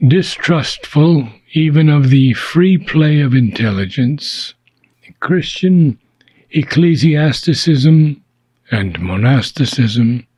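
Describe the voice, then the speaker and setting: Male audiobook reader, husky and unhurried, solemn and deliberate delivery of philosophical text with measured phrasing. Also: mid-range voice, darkish tone, dry recording. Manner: measured, deliberate, with frequent pauses between phrases.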